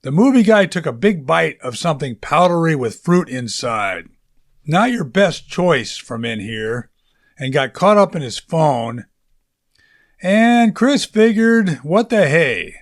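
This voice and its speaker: Man narrating fiction in a higher-pitched voice. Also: big pitch swings, takes it slowly. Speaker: audiobook narrator. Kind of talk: scripted fiction narration, conversational storytelling.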